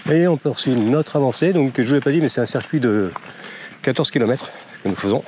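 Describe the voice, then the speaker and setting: A man's voice: medium pitch, animated, a little fast, darkish tone, a little hiss or hum. A man with a slight cold, narrating a casual walking vlog on forest trails, chatty and rambling with many fillers and wry jokes. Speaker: vlogger walking with his dog.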